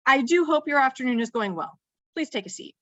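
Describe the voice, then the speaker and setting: A composed woman in command, acted out by a female narrator, formal and measured like a senior director in a fantasy storybook. The recording is dry and close. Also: mid-range voice, sharp tone, no room sound, lo-fi sound, natural voice. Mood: courteous. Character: brisk, formal female chief director in authority.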